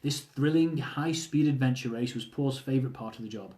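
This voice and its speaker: Man reading his memoir aloud, mellow tone. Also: medium pitch, brisk. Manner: wry, humorous storytelling, with asides to listeners. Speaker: author reading his own book. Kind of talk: audiobook-style reading of a travel memoir.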